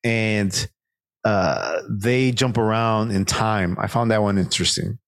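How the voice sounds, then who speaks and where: Laid-back low-voiced man chatting. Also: slow, no echo. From Mexican-American, United States. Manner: rambling, laughs often, self-deprecating. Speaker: podcast co-host. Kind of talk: casual review chat.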